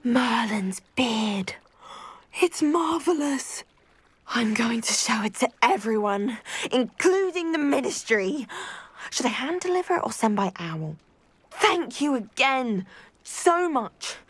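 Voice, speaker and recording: An earnest anxious young witch, highish voice, really animated, super polite, game cutscene. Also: takes it slowly, husky, no echo, full character voice. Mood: delighted. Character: earnest, grateful, anxious young woman with a love of diplomacy. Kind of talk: scripted game acting.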